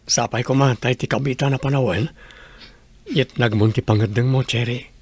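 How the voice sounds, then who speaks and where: A man with an Ilocano accent and a slightly husky voice, acting an earnest, humble young village suitor in a radio drama. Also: mid-range voice, fairly even intonation, normal speed, a little hiss or hum, close to an everyday voice. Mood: pleading, tender.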